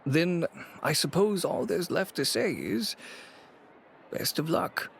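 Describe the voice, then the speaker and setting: A man playing a world-weary, cautious middle-aged businessman and father in a video game, measured and resigned in manner. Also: on the high side, animated, creaky, fairly bright tone, slight background noise, exaggerated voice. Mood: conciliatory.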